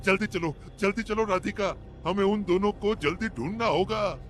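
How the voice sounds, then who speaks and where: A man's voice: high-pitched, normal speed, faint music behind, natural voice. A man voicing a jittery young man in a cartoon horror story who stammers when frightened. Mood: urgent.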